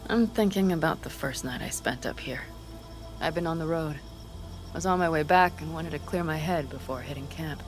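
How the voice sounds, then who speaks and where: A woman's voice: low-pitched, moderate pace, close to an everyday voice. An actress playing a tough but anxious young woman nomad mercenary in a video game, earnest and blunt. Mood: pensive. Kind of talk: dramatic video game acting. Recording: music behind the voice.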